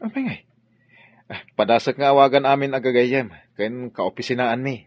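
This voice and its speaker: An actor playing a concerned, admonishing godfather with a husky voice in a radio drama. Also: medium pitch, steady tone, moderate pace, close to an everyday voice. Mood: surprised, helpful. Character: concerned, scolding godfather, older family friend. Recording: dry, muffled lo-fi radio drama.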